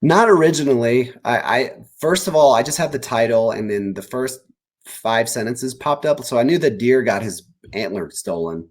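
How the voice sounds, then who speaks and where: A man talking casually as an author guest in an interview, animated and rambling as he pieces ideas together aloud. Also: mid-range voice, normal speed. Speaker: children's book author, guest. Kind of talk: casual interview answers about writing.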